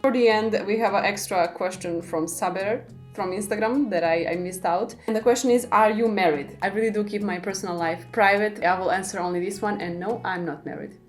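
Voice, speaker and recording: Young Serbian woman, native Serbian speaker, casual vlog Q&A, mid-range pitch, faint music under the voice. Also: brisk, hardly any pauses. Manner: relaxed and friendly, with frequent ums and light laughs. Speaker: classical guitarist answering viewer questions.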